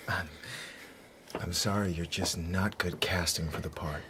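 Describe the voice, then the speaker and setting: A man's voice: low-pitched, steady tone, slow pace, bright, forceful tone, heavily acted. Polite, dryly ironic male negotiator. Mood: wry calm. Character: smooth, wry negotiator, polite and calculating. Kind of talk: radio drama acting. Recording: faintly noisy radio drama recording.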